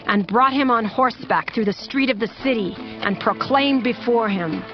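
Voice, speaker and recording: A woman narrating scripture from a script in a measured, formal reading. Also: a little high, lo-fi sound, over music.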